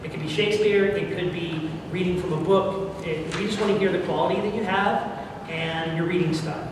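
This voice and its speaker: A man's voice: sits high, fairly even intonation, fairly quick, background noise. A man hosting an informal question-and-answer panel at a convention. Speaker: audio drama producer and panel host. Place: large indoor convention room with audience. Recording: echoey crowded hall, distant camera mic.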